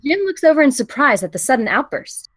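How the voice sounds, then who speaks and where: A woman's voice: sits high, sing-song, fairly quick, a bit bright, husky. A woman reading the stage directions of a film script as scripted narration at a table read.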